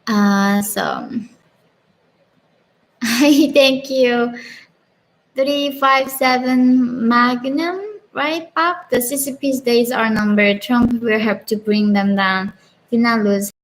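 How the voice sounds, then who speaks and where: Slow-spoken Korean-accented young woman. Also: fairly high pitch, rough recording. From North Korea. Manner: earnest, frequent "like" fillers, laughs between chat messages. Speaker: channel host answering live chat questions. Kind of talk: casual live Q&A.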